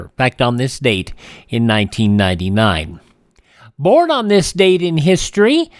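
Man hosting radio with lively pitch swings. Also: no echo, clean studio sound. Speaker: radio show host. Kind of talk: radio almanac narration.

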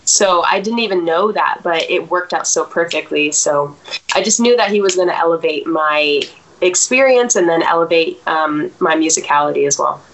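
Lower-voiced woman, reflective interview guest. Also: normal speed, a bit bright, slight background noise, poor audio. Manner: reflective, many fillers and restarts. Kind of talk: casual interview chat.

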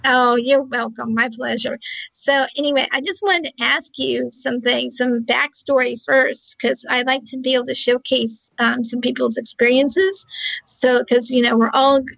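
A woman hosts a radio interview, chatting her way through loose, filler-laden questions. Her voice sits a little high with a gravelly fry, and the sound is muffled and dry, like a lo-fi line. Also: fairly even intonation, moderate pace, a bit bright, no echo. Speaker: radio show host. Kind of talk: casual interview.

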